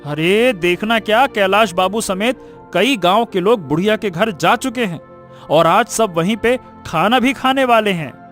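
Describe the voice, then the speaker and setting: Man acting a very high-pitched, gossipy village shopkeeper. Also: unhurried. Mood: busy. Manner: excitable, repetitive. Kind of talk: scripted cartoon story acting. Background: music.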